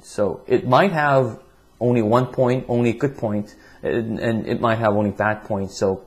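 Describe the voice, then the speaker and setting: A man's voice: mid-range voice, a little mellow. A man whose first language is Korean, giving an online test-prep lecture in English with many restarts and repeated words.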